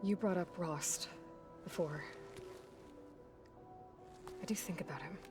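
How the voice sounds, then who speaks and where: Guarded, low-voiced young heroine. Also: female voice, takes it slowly. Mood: subdued, reflective. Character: guarded, determined young female warrior heroine. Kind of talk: video game acting. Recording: music under the voice.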